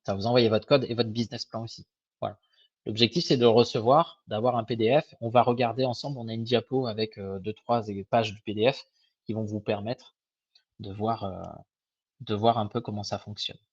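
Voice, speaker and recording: Hesitant man, husky voice, lo-fi web call. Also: lowish voice, fairly even intonation, fairly quick, dry recording. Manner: hesitant, many fillers and restarts, friendly and informal. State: slightly hoarse. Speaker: microfinance advisor presenting a webinar. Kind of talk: casual live webinar presentation. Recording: dull, lo-fi web call audio.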